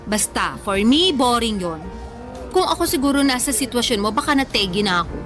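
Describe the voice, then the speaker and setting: A woman playing a sensitive, stubborn young food lover in a Filipino radio drama, whiny and expressive, with a Filipino accent. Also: fairly high pitch, fairly quick, lightly acted. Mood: playful, defensive. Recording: music bed under the dialogue.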